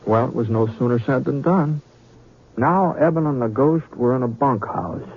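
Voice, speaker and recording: A man playing a folksy Western storyteller narrating an old-time radio drama in a halting, conversational way. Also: mid-range voice, natural voice. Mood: folksy reminiscing. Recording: muffled vintage radio, faint music.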